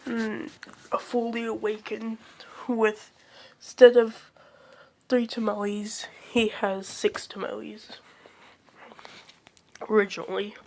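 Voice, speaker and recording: A woman's voice: fairly high pitch, level tone, slow pace, creaky, pauses often, a little mellow. A young woman hosting her channel, rambling through a casual fan theory with lots of fillers and self-corrections while she is losing her voice from being sick. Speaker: channel host.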